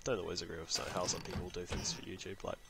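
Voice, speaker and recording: A man's voice: low-pitched, steady tone, fast, gravelly, very noisy. A man with a New Zealand accent giving rambling, chatty commentary while he plays a game, talking to himself between remarks to viewers. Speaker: gaming channel host. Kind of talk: casual let's-play commentary.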